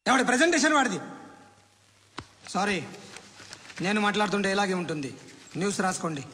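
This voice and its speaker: A man's voice: sits high, very animated, a little fast, bright, forceful tone, heavily acted. A man playing a sarcastic police chief in a film, holding forth to reporters in a declamatory way. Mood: sardonic. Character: sardonic male police chief lecturing reporters. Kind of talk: film dialogue as press briefing. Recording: reverberant hall sound.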